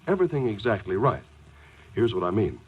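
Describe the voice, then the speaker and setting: An actor playing an earnest, deliberate announcer and narrator of an old-time radio mystery. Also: male voice, fairly low pitch, very animated, very noisy. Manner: deliberate, dramatic. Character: earnest, fussy male announcer of a mystery show. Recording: muffled, band-limited old radio recording. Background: music.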